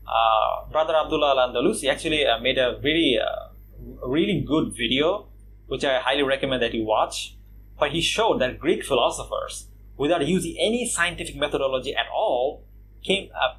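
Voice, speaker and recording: A man from Bangladesh giving casual response commentary on a video, mocking his opponent with scoffing laughs and lots of "uh" and "you know" pauses. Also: a little high, fairly bright tone, a little hiss or hum, low-quality recording. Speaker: YouTube commentator.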